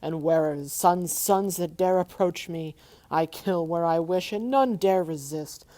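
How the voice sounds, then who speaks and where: Casting: woman reading a wicked old dragon, booming, boastful, low-voiced. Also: measured pace, dark tone, lightly acted. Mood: gloating. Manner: gloating, mocking, booming.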